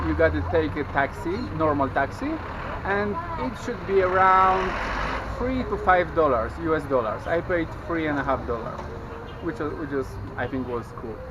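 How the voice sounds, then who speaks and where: A man's voice: a little high, expressive, measured pace. A young man with a Polish accent, whose first language is Polish, chatting to a handheld camera outdoors as a travel vlogger and explaining things step by step. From Poland. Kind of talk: casual travel vlog talk to camera. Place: outdoors at a busy border crossing, then a roadside food stall. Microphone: handheld camera at arm's length. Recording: outdoor camera audio with clear traffic noise. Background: street and traffic noise.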